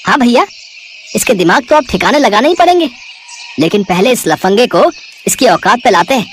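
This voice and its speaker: A man's voice: extremely high pitch, animated, fairly quick, a bit bright, slight background noise. A man voicing a furious, bullying brother in a story, aggressive and threatening.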